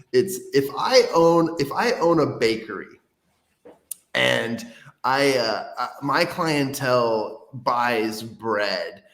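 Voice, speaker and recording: A man hosting an interview, talking in rambling, halting sentences with many restarts. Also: mid-range voice, fairly bright tone, reverberant. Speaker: podcast host. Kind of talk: casual interview.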